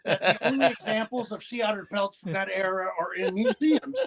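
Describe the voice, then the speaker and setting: A man with a high-pitched voice talks as a podcast guest. He rambles through stories with frequent restarts and fillers, in a blunt, folksy way. He grew up in the Pacific Northwest. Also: a little fast, over a noisy crowd. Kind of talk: rambling conversational storytelling.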